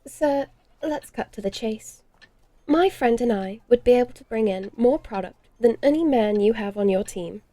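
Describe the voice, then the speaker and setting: A woman's voice: a little high, normal speed, dark tone, no room sound, close to an everyday voice. A woman playing a haughty, sardonic vampire lady of the Old West in a horror audio drama, with prim, dry, formal diction. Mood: polite, assured.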